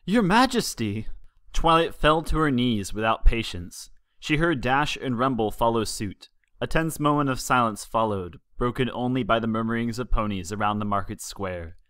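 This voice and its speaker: A man's voice: mid-range voice, normal speed, fairly bright tone, clear, resonant tone, no echo, studio quality. A man reading a story aloud as scripted narration with dramatic delivery. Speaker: fan fiction reader.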